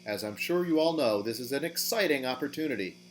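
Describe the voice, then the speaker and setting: A man's voice: mid-range voice, expressive, a little fast, close to an everyday voice. A man playing an ambitious, businesslike demon who speaks formally, like a boardroom presenter, in an audio drama. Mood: eager briefing. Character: businesslike ambitious male demon addressing an infernal council. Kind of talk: podcast audio drama acting. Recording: amateur recording with faint hum.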